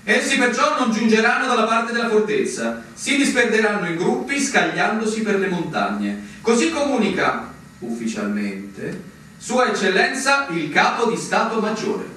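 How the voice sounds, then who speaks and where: An actor playing a brooding, world-weary army officer in a theatrical radio drama, solemn and declamatory with slow dramatic pauses. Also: male voice, sits high, sing-song, pushed and bright, distant mic, slight background noise, full character voice. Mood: formal, commanding. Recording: echoey stage recording from afar, music behind.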